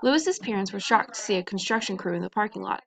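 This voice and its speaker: A woman's voice: medium pitch, lively intonation, quick pace, creaky. A woman reading a children's story aloud in a narrating style. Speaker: storybook reader.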